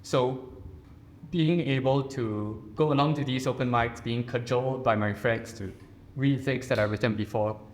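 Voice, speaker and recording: Singaporean man, mid-pitched, measured and earnest, panel storytelling. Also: fairly even intonation. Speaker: poet on a panel. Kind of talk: personal reflective talk. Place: reception room at a residence.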